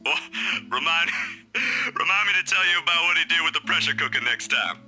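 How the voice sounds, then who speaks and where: A man's voice: fairly high pitch, lively intonation, normal speed, bright, forceful tone. A man playing a kind, easygoing young friend in a visual novel, casual and slightly awkward. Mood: sheepish, hurried. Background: music.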